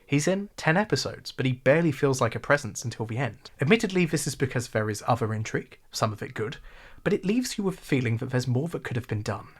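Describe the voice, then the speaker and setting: Male, RP English, wry sarcastic video essayist, medium pitch. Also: fast talker, husky. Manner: wry, sarcastic, animated delivery. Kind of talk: scripted video essay narration.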